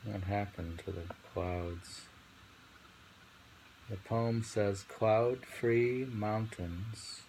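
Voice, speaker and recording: A man reading poetry aloud and commenting informally, in a deliberate, musing, halting way. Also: on the low side, gravelly, darkish tone, slight background noise, rough recording.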